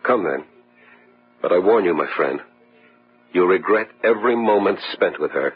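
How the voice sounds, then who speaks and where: A man's voice: low-pitched, expressive, moderate pace. A man playing a tormented, resentful husband, grave and overwrought, in an old gothic radio drama. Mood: grim. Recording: dull vintage radio recording, music behind.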